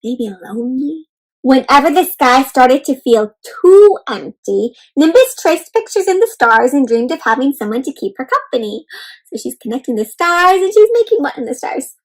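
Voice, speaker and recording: Female children's read-aloud host: high register, lively swooping intonation, questions and exclamations aimed at young listeners. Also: normal speed, faint music behind, rough recording. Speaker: host reading a picture book aloud to children.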